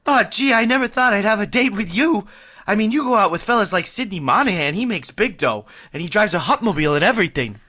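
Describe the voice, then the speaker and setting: A woman's voice: medium pitch, animated, quick pace, bright, forceful tone. A woman voicing an awkward, lovestruck young man in a vintage-style radio play, earnest and rambling. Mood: bashful. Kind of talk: 1940s radio acting.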